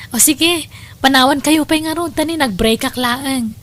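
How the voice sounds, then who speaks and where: A woman's voice: sits high, normal speed, fairly bright tone. A woman playing a composed, gracious young doctor in an Ilocano radio drama, speaking politely.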